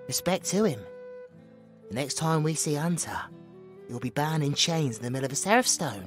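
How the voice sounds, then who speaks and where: A woman with a British accent playing a feisty, chatty bard in a fantasy audio drama. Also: low voice. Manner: colloquial, cheeky, expressive. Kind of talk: fantasy audio drama acting, narrating to the audience.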